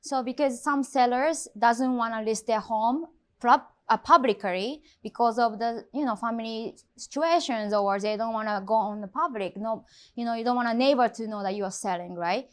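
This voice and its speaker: Japanese-accented woman, halting English. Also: a little high. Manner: halting non-native English with frequent fillers. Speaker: luxury real estate agent. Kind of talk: interview conversation.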